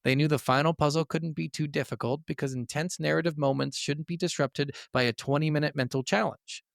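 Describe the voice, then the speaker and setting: A man hosting a casual gaming podcast, telling stories in an animated, conversational way. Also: on the high side, a little fast, vocal fry, no echo, studio quality. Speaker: podcast host.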